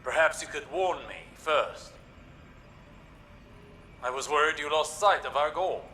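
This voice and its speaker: An actor playing an earnest, scholarly older male warlock in a video game, speaking in a deliberate, philosophical way. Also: on the high side, sharp tone, a little hiss or hum. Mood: reproachful. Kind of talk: dramatic video game dialogue.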